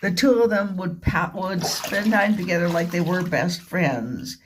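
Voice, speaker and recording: A woman giving a rambling, informal spoken commentary, halting and repetitive, leaning on words for emphasis. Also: on the low side, a little hiss or hum. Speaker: vlogger. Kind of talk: rambling informal monologue retelling and commenting on a radio drama.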